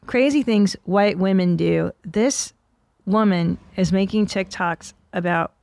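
A blunt woman from Canada in her forties hosts a casual comedy podcast, swearing freely and mocking herself. Her voice carries a gravelly fry at an ordinary middle pitch. The sound is dry and close, with no room around it. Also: slow, a little mellow, no echo. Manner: blunt, profane, self-mocking. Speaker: podcast host.

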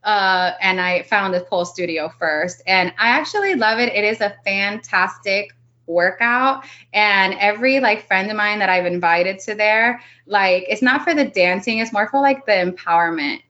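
A woman in her early thirties from Miami who grew up speaking Spanish first, chatting in a loose, giggly way full of little fillers. Her voice sits at a middle pitch, and the recording sounds a touch muffled. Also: normal speed, sharp tone. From Miami, United States. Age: early 30s. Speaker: podcast guest. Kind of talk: casual interview chat.

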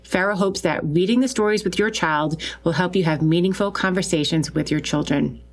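A woman's voice: lowish voice, a little fast. A woman with a Long Island New York accent hosting a podcast in an enthusiastic, chatty way. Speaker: podcast host. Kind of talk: upbeat podcast introduction and interview.

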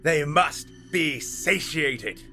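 A man voicing a sinister, calm and menacing male waiter in a horror story, hushed and sneering. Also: on the high side, drawn-out words, faint music behind, pitched above the performer's own voice, exaggerated voice. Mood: shouting. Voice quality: hushed, whispery. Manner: calm, sneering threats that rise to shouts.

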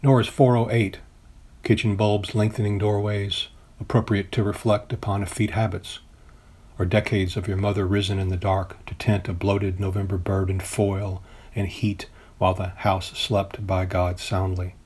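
A man reading his own poem in a deliberate, literary manner. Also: low-pitched, flat delivery, a little mellow, husky.

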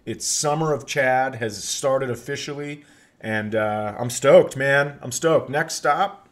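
A middle-aged American man with a mid-range voice talks casually on a comedy podcast. He tells animated stories and playfully puffs himself up as he goes. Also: mid-range voice, takes it slowly.

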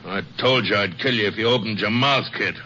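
Harsh, forceful outlaw villain. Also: male voice, on the low side, fairly quick. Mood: menacing. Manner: threatening, rough-spoken. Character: menacing, greedy male outlaw with a gun. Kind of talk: 1950s radio western acting. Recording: muffled, faintly noisy old radio recording.

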